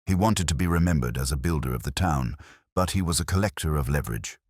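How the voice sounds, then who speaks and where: A man's voice: extremely low pitch, animated, fast, dry recording, clean studio sound. A man voicing a thin, resigned, secretive man in his forties who speaks in a tight, guarded way, in an audio mystery. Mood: bitter, guarded.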